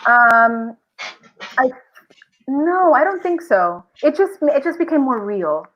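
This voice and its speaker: A woman's voice: sits high, animated, takes it slowly, muffled recording. A woman chatting casually and expressively as an enthusiastic voice actress guest on a podcast. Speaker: interview guest, audio drama voice actress.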